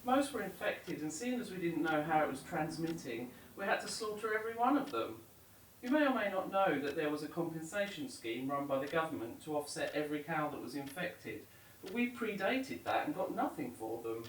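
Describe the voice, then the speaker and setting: A woman plays an older retired farmer in an audio drama, hospitable yet guarded. Her lowish voice moves up and down in lively swings, heard a little far from the mic in a roomy space. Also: brisk, slight background noise. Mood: guarded, rueful. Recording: roomy, distant from mic, faint music.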